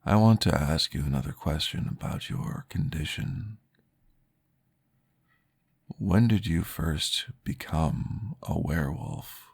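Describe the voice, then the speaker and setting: A man playing a calm, courteous vampire therapist in an ASMR roleplay, guiding gently and formally in a breathy, gravelly voice. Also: very deep voice, full character voice. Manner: slow, gentle, formal, soothing guidance.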